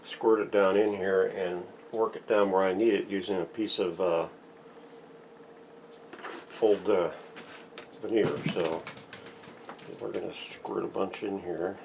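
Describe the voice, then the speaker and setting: A man with a very low voice talks through hands-on repair work in a casual, plainspoken way. His speech has a crackly, gravelly edge, and some noise sits behind him. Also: fairly even intonation, takes it slowly, long pauses. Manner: plainspoken, narrates each step as he works. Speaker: vintage radio restorer and host. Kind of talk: casual hands-on workshop demonstration. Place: home workshop.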